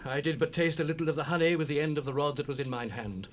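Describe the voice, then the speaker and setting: A man's voice: fairly high pitch, fast talker, natural voice. An actor playing a king's son, earnest and resigned, in a dramatized Bible recording. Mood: resigned confession. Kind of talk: dramatized scripture acting. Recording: noisy cassette rip, ambient sound.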